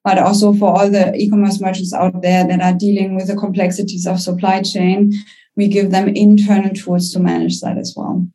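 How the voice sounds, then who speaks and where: A woman's voice: mid-range voice, level tone, moderate pace, warm tone. A young woman with a German accent, a company founder speaking confidently as a guest on a business podcast. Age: young adult.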